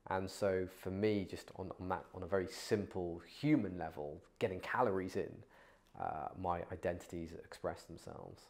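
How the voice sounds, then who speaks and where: Welsh-born man, deep voice, measured interview answers; cast for a calm reflective talking head. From Wales. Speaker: teacher interviewee.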